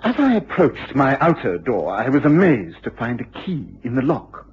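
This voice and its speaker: An excitable English don in a vintage radio drama. His voice is very rough and husky, his delivery formal, exact and agitated. The old recording is muffled and lo-fi. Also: mid-range voice, moderate pace. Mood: agitated, explaining. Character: agitated, excitable male university lecturer, gaunt and anxious. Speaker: actor in a radio play. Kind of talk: period BBC radio drama acting.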